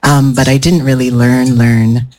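A man's voice: medium pitch, flat delivery, a little slow, a little mellow, soft background music. A man speaking as a panelist to a live audience, thoughtful and rambling, often restarting his sentences. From United States. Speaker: panelist, somatic therapist. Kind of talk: casual panel talk. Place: event room with a live audience.

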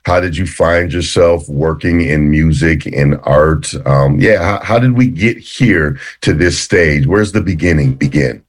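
A man's voice: rumbling low, a little slow, few pauses. A man hosting a podcast interview, reading a guest's introduction and then chatting and joking with the guest. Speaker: podcast host. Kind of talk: casual interview.

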